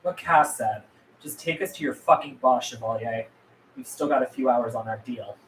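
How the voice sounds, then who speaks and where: A man's voice: mid-range voice, normal speed, close to an everyday voice. A man playing a defiant, world-weary and blunt rebel leader at a script table read. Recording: echoey room with background noise.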